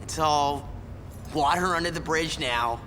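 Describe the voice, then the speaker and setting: An actor voicing a forgiving, easygoing comrade in a video game, speaking candidly and hesitantly. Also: male voice, fairly high pitch, unhurried, a bit bright, slight background noise. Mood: candid, conciliatory. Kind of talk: scripted video game acting. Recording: reverberant space, music behind.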